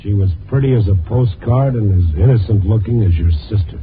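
A man's voice: fairly low pitch, expressive, fairly quick, slight background noise, lightly acted. A man playing a world-weary, probing newspaper reporter in a 1950s radio noir drama, on a tinny old recording. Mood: brooding. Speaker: narrator and lead actor. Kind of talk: 1950s radio noir acting, first-person narration.

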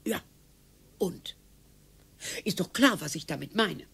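An older woman playing a weary, sardonic, dryly morbid wife in a radio play, speaking deliberately. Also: lowish voice, expressive, creaky, fairly bright tone, husky, exaggerated voice.

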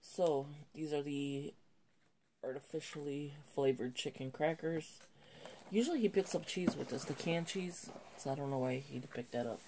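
Low-pitched lady, kinda nasal from a cold, chatty and rambly, background hiss at home. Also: moderate pace, dark tone, low-quality recording. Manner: rambling, self-correcting, chatty. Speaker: vlogger. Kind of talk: casual haul video chat. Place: indoors at a home table.

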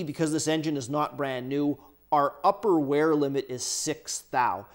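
A man hosting a workshop how-to, casually explaining engine measurements while handling parts at his bench. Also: a little high. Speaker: workshop host. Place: indoor workshop bench, overhead camera.

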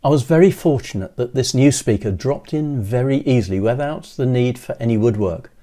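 An older man in his sixties giving scripted how-to narration in a measured, methodical way, as a presenter. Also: medium pitch, animated, dark tone. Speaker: presenter of an electronics how-to video.

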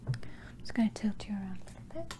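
A woman whispering softly in an ASMR roleplay as a barber, gently and politely talking through each careful step. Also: medium pitch, expressive, fast.

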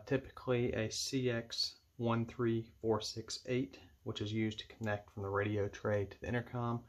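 A man giving measured, matter-of-fact step-by-step instructions as he demonstrates an equipment setup indoors at a table. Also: mid-range voice. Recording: clear background noise with clicks.

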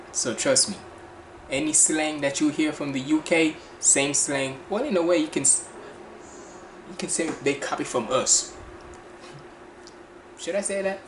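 Fast-talking Jamaican man, echoey room. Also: mid-range voice, noisy background. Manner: fast, animated, slangy chatter with frequent restarts. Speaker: reaction channel host. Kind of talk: casual music reaction commentary. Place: small bedroom indoors. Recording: echoey room with music playing.

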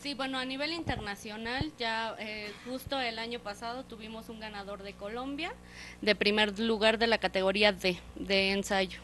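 A young woman speaks as a guest on a small television set, holding a handheld mic. Her voice is slightly high, and she talks with some hesitation and fillers. A clear electrical hum runs under the recording. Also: fairly even intonation, moderate pace, a bit bright, low-quality recording. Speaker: guest, contest organizer. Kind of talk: studio interview. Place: small TV studio set, indoors.

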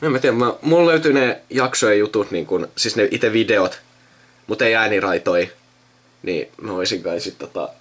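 A man's voice: fairly low pitch, a bit bright. A young man in casual chat, thinking aloud in a rambling way and often trailing off. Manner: rambling, trailing off mid-sentence. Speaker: video host recording himself with a friend. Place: indoors at a computer.

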